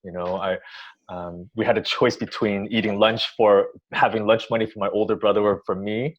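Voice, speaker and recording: A man, born in Taiwan, giving thoughtful interview answers with many pauses, restarts and ums. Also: on the low side, little pitch movement. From Taiwan, raised in the US. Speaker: orchestra music director. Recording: dull lo-fi sound.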